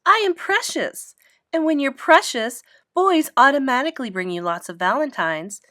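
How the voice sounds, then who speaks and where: A woman's voice: sits high, animated, normal speed, sharp tone, no echo, clean studio sound, pitched above the performer's own voice. A woman voicing a prissy, boastful little rich girl, haughty and gushing, in a children's storybook.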